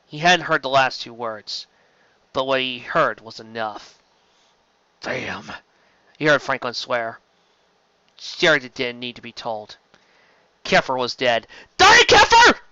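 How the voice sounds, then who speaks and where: A man's voice: mid-range voice, unhurried, long pauses, bright, forceful tone, clean tone, no room sound. A man reading science fiction fan fiction aloud as dramatic scripted narration. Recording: slightly dull, close dry mic.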